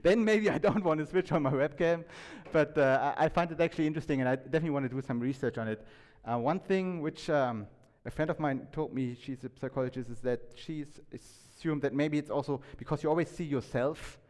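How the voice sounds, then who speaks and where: A man giving an informal talk to an audience, conversational and halting, often restarting his sentences and checking in with questions. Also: fairly high pitch, darkish tone. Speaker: conference speaker. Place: indoor talk venue, reverberant room. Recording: echoey hall with long reverb.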